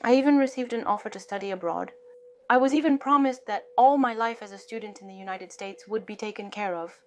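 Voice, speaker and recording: A woman's voice: fairly high pitch, fairly quick, a little hiss or hum. A woman from North Korea telling her life story in an earnest, reflective way during a casual chat.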